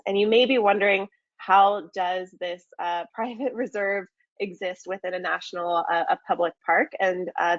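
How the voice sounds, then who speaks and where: A woman's voice: fairly low pitch, no room sound, dull audio. A woman from the United States presenting a travel webinar in a friendly way, with many ums and uhs. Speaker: market manager presenting a webinar.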